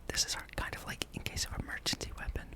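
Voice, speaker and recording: Soft whispered gaming ASMR ramble. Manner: unhurried, casual musing.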